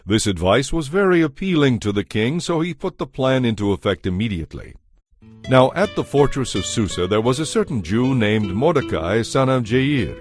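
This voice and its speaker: A man's voice: mid-range voice, lively intonation, moderate pace, no echo. A man narrating a dramatized audio Bible in a scripted, storytelling style. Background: music.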